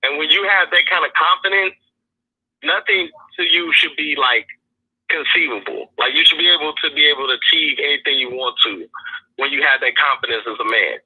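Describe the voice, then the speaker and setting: A man calls in to a podcast, his husky voice squeezed through a tinny phone line. He speaks a little high, earnest and halting, with many fillers as he gathers his thoughts. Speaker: guest calling in. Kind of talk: casual podcast conversation. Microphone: phone call.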